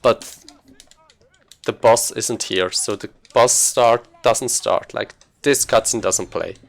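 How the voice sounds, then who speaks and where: A man's voice: fairly low pitch, slow pace, vocal fry. A man giving casual, informal gameplay commentary as a speedrunner, thinking aloud as he plays.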